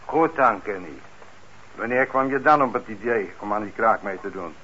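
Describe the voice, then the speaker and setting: An actor playing a firm, fair-minded police officer in a radio drama, speaking in a direct, questioning way. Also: male voice, lowish voice, normal speed, pushed and bright. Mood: probing, accusing. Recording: dull, noisy old radio recording.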